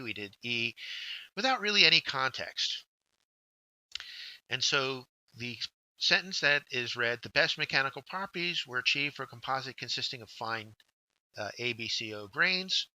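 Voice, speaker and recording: A man narrates a scripted workshop lesson in a very bright, forward voice at a middle pitch. He speaks directly to learners and takes them through instructions one step at a time. The sound is dry and close, with very little room around it. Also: no echo, low-quality recording.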